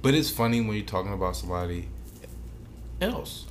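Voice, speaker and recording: A man's voice: fairly low pitch, animated, brisk. A man co-hosting a podcast in casual chat, speaking in an informal, slangy, opinionated way. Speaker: podcast co-host. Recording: noticeable background noise.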